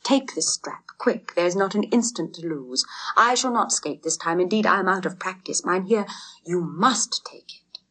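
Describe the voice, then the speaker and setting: An earnest, selfless teenage boy from a storybook, read by a woman. He pleads urgently, in a hushed and slightly husky voice, with great sincerity. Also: fairly low pitch, lively intonation, moderate pace. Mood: urgent, pleading.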